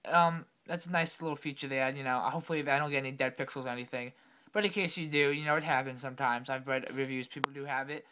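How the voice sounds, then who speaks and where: A young man with a slightly raspy voice from being sick, casually talking through an unboxing at his desk with lots of fillers and self-corrections. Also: mid-range voice, steady tone, quick pace, bright, forceful tone. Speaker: tech unboxing vlogger. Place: home room at a desk.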